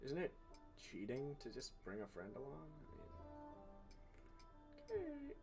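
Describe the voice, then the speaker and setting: A man's voice: mid-range voice, huge pitch swings, a little slow, low-quality recording. A man doing casual, sweary live game commentary as a streamer, reacting aloud. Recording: loud game music behind the voice. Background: game music.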